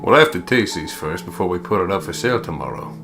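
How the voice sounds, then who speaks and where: A man's voice: low-pitched, lively intonation, fast. A man voicing a pompous father coaxing his young son in a visual novel read-aloud, with music behind. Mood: playful, coaxing.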